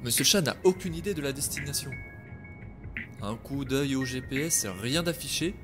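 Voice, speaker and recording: A man tells a scripted podcast story in a wry voice pitched in the middle range. Music plays clearly behind him. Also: normal speed. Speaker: narrator.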